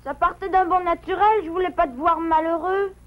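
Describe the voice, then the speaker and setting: A very high, eager cartoon boy beaver. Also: fairly even intonation, fairly quick, dull audio. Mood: earnest. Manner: kind, eager, playful. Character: cheerful young boy beaver hero. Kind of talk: French cartoon dubbing.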